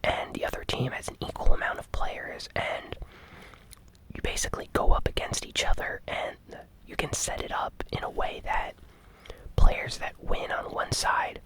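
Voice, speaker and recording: A host giving ASMR gaming news commentary in a breathy, unvoiced whisper, soft, unhurried and informal.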